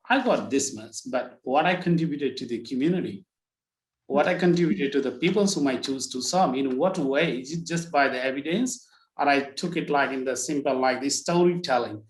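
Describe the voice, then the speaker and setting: A man in his thirties with a Nepali accent talks over a video call, and his voice bounces around a roomy, echoey space. He speaks at a middling pitch, stops often, restarts, and threads "like, you know" through his sentences as he works out what to say. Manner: hesitant, heavy on fillers like "like, you know". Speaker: workshop participant. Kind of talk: sharing a personal story. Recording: roomy echo.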